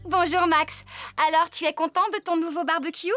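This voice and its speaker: A woman's voice: very high voice, little pitch movement, moderate pace, a bit bright, faint music behind. A woman playing a kind, cheerful young farmer in a children's cartoon, with a caring, reassuring manner. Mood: friendly.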